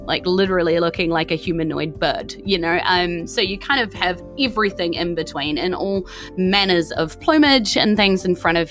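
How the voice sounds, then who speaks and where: Female game master narrating over soft music. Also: on the low side. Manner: descriptive, conversational, hedging with "like". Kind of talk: narrating a tabletop game, semi-improvised.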